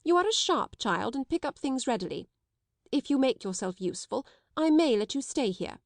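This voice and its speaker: A woman playing a sharp, domineering schoolmistress. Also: high-pitched, big pitch swings, normal speed, no echo, studio quality, voice pushed up. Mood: harsh. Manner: cold, scolding, sharp. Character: stern domineering middle-aged woman schoolmistress. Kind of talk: audiobook character voice.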